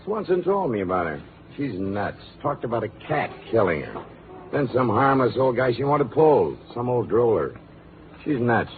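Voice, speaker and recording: An actor with an American accent playing a lazy, callous police sergeant, clipped and slangy, in a 1950s radio drama. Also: male voice, medium pitch, very animated, normal speed, narrow, tinny audio. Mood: dismissive. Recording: thin vintage radio broadcast, faint noise.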